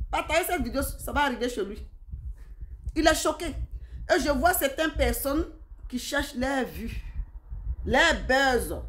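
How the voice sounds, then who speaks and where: A woman giving informal video commentary, speaking emphatically, repeating herself and addressing her viewers directly. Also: high-pitched. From Africa. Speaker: social media commentator.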